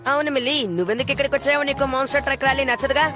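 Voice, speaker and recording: A woman voicing a bossy, headstrong young boy in a cartoon dub, brisk and commanding. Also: sits high, steady tone, natural voice. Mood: exasperated. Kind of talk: Telugu cartoon dub acting. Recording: loud music behind the voice.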